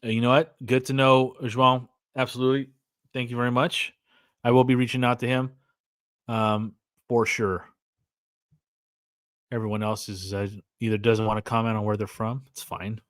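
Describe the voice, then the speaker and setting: Man, 40s, American, rambling podcast host, filler-heavy talk, average pitch, dry sound. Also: flat delivery, moderate pace, long pauses, dry recording. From California, USA. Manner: rambling, lots of fillers and restarts, reads chat aloud. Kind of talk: casual livestream chat.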